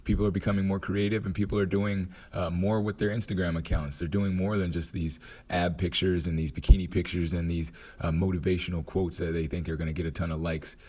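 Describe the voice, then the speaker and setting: Male podcast host, low and husky, hoarse today, relaxed off-the-cuff monologue. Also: brisk, few pauses. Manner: relaxed, thinking aloud with restarts. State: hoarse from a night out. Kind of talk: casual motivational monologue.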